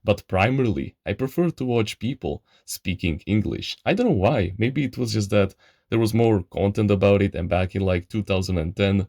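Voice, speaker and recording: A man's voice: on the low side, animated, moderate pace, creaky, darkish tone, dry recording, studio quality. A young man from Eastern Europe, a non-native English speaker, telling a personal story in a chatty, slangy way and calling the listener bro. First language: an Eastern European language. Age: young adult. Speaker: channel host. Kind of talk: casual storytelling monologue.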